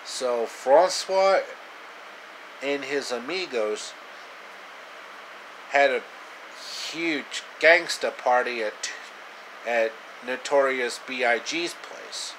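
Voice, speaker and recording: A man in his thirties narrating a homemade story with halting delivery, recorded at arm's length in a small room. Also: mid-range voice, fairly bright tone, a little hiss or hum, low-quality recording. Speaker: storyteller, video creator. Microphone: phone or webcam at arm's length.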